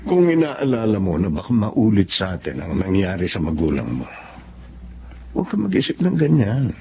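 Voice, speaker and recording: An actor in a radio drama playing an earnest, reassuring young doctor who is a persuasive suitor. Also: male voice, on the low side, big pitch swings, normal speed, creaky, mellow, breathy edge, no echo, exaggerated voice. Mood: pleading, reassuring. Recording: dull lo-fi radio, faint noise.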